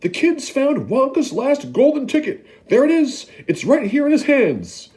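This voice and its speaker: A male narrator voicing a fat, excitable shopkeeper who yells and shouts. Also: sits high, a little slow, pitched above the performer's own voice. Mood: ecstatic shouting. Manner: yelling, overexcited.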